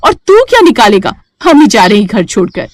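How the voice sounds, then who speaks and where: A woman voicing a brave, practical village wife and mother in a story, expressive and firm. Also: medium pitch, brisk, no room sound, close to an everyday voice. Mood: fierce, scolding.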